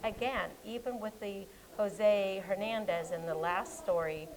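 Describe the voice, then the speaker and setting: A woman's voice: medium pitch, steady tone, a little slow, low-quality recording. Chatty, giggly American woman librarian. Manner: chatty, giggly, trails off mid-word. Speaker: co-host, children's librarian. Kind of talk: casual chat. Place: public library.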